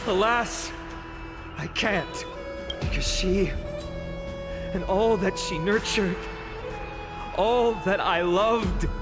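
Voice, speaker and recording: An actor voicing an earnest young male hero who gives a solemn, impassioned speech to a city's people in a game cutscene. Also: high voice. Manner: solemn, impassioned public address.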